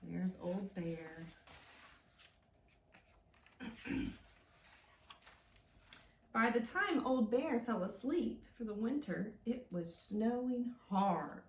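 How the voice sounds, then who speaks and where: A woman's voice: mid-range voice, expressive, very slow, pauses often. A woman hosting a children's storytime, reading and explaining in a sing-song way with simple, encouraging questions. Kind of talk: read-aloud and teaching for young children. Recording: echoey room, mic at a distance.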